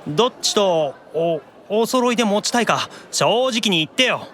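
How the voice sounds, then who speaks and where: A man's voice: sits high, expressive, moderate pace, fairly bright tone. An actor playing a geeky, shy young male demon in an anime-style audio drama, needling and boyish, stammering when embarrassed. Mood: shy, determined.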